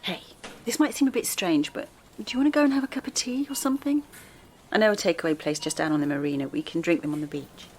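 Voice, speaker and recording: An actress playing Alice, a kind and steady mother who speaks in a calm, reassuring, gently persuasive way. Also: on the low side, fast, slight background noise, natural voice. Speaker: stranger who helps. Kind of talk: audio drama acting.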